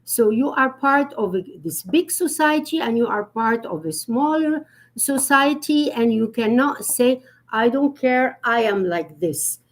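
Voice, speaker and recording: Earnest high-voiced woman etiquette expert. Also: lively intonation, unhurried, low-quality recording. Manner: earnest, explanatory, with frequent fillers and restarts. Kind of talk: conversational live-stream talk.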